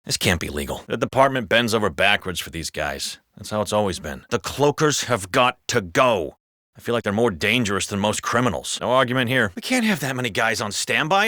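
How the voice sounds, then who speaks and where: An actor playing a jaded, foul-mouthed, sardonic police officer in a video game. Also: male voice, medium pitch, bright, forceful tone, dry recording, studio quality. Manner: gossipy, sardonic, swearing. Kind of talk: scripted video game voice acting.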